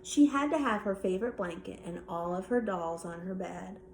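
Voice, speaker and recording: A woman reading a children's bedtime story aloud with expressive narration. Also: medium pitch, moderate pace. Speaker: storybook reader.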